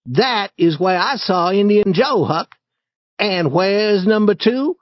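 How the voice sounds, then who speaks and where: A man voicing a bold, eager boy who leads an adventure, in a raised, boyish pitch with lively storybook acting. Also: slow, no room sound. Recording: dry, slightly dull recording.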